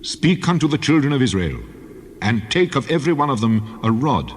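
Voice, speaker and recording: An actor playing a stern, solemn God of the Old Testament in a dramatized scripture reading, making authoritative pronouncements in a husky voice. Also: male voice, mid-range voice, sing-song, a little fast, slight background noise, natural voice. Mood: solemn, instructing. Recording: long cavernous reverb.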